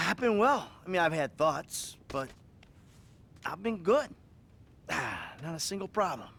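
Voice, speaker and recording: Creaky, troubled middle-aged American criminal. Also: male voice, fairly high pitch, sing-song, slow, fairly bright tone, exaggerated voice. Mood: defensive. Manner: hesitant, sighing, sardonic, self-loathing. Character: troubled middle-aged male criminal confessing to his therapist. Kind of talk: naturalistic video game acting.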